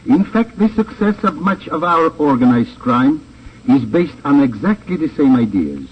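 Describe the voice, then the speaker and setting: A man's voice: a little high, big pitch swings. Earnest man on dull old radio. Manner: formal, earnest, deliberate phrasing. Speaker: guest actor giving closing address. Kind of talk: scripted moral address, 1950s radio. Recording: distant, band-limited old radio recording, faint noise.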